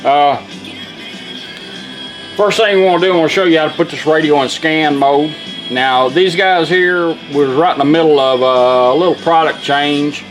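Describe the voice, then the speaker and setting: A plainspoken man with a slightly high voice walks through a product demonstration. He talks informally and folksily, with faint music behind him. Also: normal speed, poor audio. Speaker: presenter demonstrating a restored car radio.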